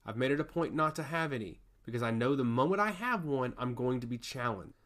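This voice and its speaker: A man voicing a solemn, curious goddess of law who speaks in an earnest, measured and formal way in a fantasy story. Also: medium pitch, animated, fairly bright tone, natural voice. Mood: earnest, grave.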